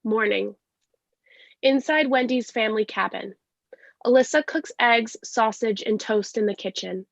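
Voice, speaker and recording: A woman's voice: a little high, a little slow, vocal fry, no echo, muffled recording. A woman giving scripted narration, reading stage directions at a play reading.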